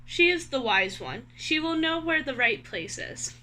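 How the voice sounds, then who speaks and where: Woman voicing an earnest, high, bright little squirrel. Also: lively intonation, measured pace, slight background noise, full character voice. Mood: puzzled. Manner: insistent, questioning. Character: earnest, puzzled male squirrel seeking a home. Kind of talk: children's storybook character voice.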